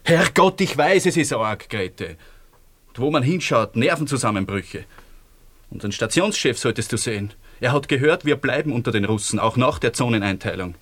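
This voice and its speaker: A world-weary Austrian man in a radio drama. His mid-pitched voice is wry and tender, that of a tired lover in a ruined postwar world. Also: animated, moderate pace, a bit bright. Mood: frustrated, confiding. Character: weary, wry man in love after the war. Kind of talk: radio play acting.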